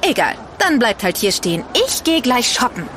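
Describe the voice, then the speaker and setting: A woman's voice: fairly high pitch, sing-song, normal speed, noisy background. An actress playing a sassy, exasperated young woman in a naturalistic radio drama. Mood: exasperated, impatient. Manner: sassy, impatient. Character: exasperated young woman on a city trip with friends. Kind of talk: naturalistic audio-drama dialogue.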